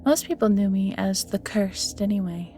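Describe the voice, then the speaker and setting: Woman doing an ancient evil sorceress type, flirty n world-weary, drifts into breathy murmurs, audio roleplay w music under it. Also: mid-range voice, moderate pace, dark tone, a little hiss or hum, close to an everyday voice. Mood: curious, teasing. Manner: musing, theatrical, often drops into breathy near-whispers. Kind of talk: scripted audio roleplay acting, addressing the listener.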